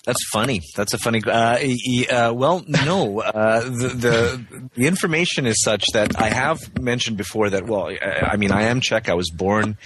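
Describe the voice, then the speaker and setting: A man's voice: mid-range voice, normal speed, hardly any pauses, a bit bright, husky, rough recording. A man calling in for an interview, talking in a friendly way with many restarts. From Canada, West Coast. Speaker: actor, interview guest. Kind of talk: casual interview over the phone. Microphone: remote call.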